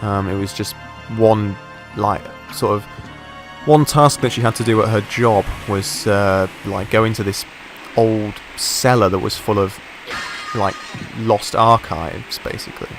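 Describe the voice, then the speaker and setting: Croaky man narrating gameplay. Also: on the low side, measured pace, over music. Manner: relaxed, thinking aloud while playing. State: croaky from a sore throat. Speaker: gameplay commentator. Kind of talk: casual let's play commentary.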